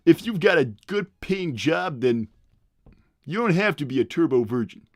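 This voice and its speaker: Casting: man playing cocky middle-aged cartoon hedgehog father, slightly high male voice, very lively pitch, crude and rambling livestream banter. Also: dry recording, clean studio sound. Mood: smug, fatherly. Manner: rambling, halting, blunt, full of tangents. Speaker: character host reading viewer messages. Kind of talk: improvised in-character livestream comedy.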